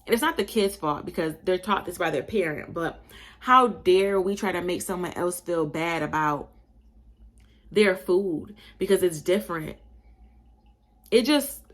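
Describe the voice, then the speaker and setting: A young woman from Buffalo, New York, talks straight to her listeners in a slightly Southern-tinged accent. Her voice sits a little low for a woman, and she sounds animated and emphatic. She keeps it chatty and casual and calls them y'all. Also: measured pace. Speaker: podcast host. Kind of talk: casual opinion monologue.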